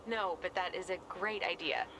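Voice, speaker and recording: A woman's voice: lowish voice, animated, normal speed, vocal fry, background noise. An actress playing a wry, affectionate young American woman in a video game, chatty and dryly playful. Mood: amused. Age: young adult. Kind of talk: naturalistic video game dialogue.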